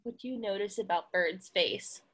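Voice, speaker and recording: A woman's voice: medium pitch, normal speed, fairly bright tone, slightly rough. A woman in her twenties reading a picture book aloud to young children, expressively and with questions for them.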